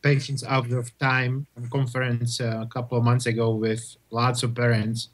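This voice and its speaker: A man from Czechoslovakia with a Czech accent, answering interview questions in halting English full of restarts. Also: mid-range voice, level tone. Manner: halting, many restarts and repeated words. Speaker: guest, testing company representative.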